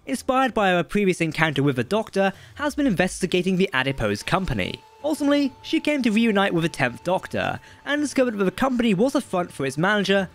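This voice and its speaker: A man's voice: high-pitched, dry recording. A man reading a scripted explainer as a video presenter, with sing-song phrasing that drops at the ends of sentences. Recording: dry voice-over with music underneath. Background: background music.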